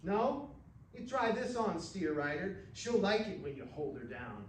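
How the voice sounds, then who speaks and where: A man's voice: high-pitched, sing-song, unhurried, pitched above the performer's own voice. A man voicing a brash, fiery cowgirl with a sassy, challenging drawl for a story reading, in a roomy recording. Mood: angry challenge. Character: brash, fiery young cowgirl, teasing and combative. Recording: roomy, distant mic with faint noise.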